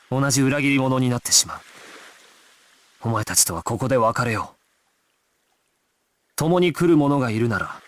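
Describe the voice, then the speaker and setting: A man's voice: mid-range voice, lightly acted. Man playing a resolute, dramatic young gangster leader. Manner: solemn, commanding, declarative. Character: resolute young male gangster squad leader, stoic and self-sacrificing. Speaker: video game voice actor. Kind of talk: dramatic Japanese action game voice acting. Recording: music behind the voice.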